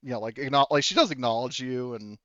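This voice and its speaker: A man's voice: medium pitch, fast, sharp tone, no echo. A man running a tabletop roleplaying game, narrating and improvising in a loose, conversational way, on a slightly dull mic. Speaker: game master.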